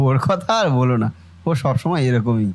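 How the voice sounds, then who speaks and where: A man's voice: medium pitch, darkish tone, breathy edge. A man voicing a devoted, affectionate younger brother, a young shopkeeper in a family cartoon. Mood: cheerful, brisk. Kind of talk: Bengali family cartoon voice acting.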